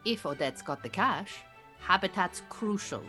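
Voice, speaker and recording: An actress playing an Iranian refugee woman who keeps bees, a dry, earnest, patient mentor with measured phrasing in an audio drama. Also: on the low side, animated, bright, forceful tone, faint music behind. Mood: earnest, firm. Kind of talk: naturalistic audio drama dialogue.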